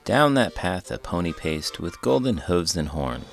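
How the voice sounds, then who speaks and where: A man with a low voice reads a children's story aloud. His delivery is theatrical and very animated, swinging widely in pitch. Faint music plays underneath. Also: unhurried, vocal fry. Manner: theatrical, expressive. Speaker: host, children's book reader.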